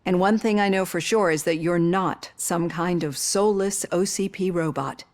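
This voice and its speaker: Woman doing a calm therapist lady in her fifties, earnest and caring. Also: fairly low pitch, normal speed, lightly acted. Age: 50s. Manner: gentle, probing, earnest. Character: female psychologist in her fifties, caring and composed. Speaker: psychologist.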